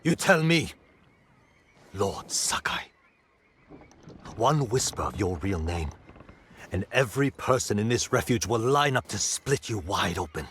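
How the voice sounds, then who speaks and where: An actor playing a bitter, wary raider in a dramatic video game, with a gruff, sardonic, blunt manner. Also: male voice, on the low side, very animated, unhurried, pauses often, a bit bright, a little hiss or hum. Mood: angry, bitter.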